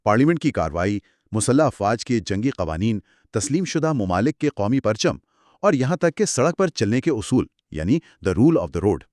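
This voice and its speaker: A man's voice: medium pitch, big pitch swings, normal speed, darkish tone, dry recording. A man co-presenting a lecture as a scripted two-person dialogue, explaining in a didactic way.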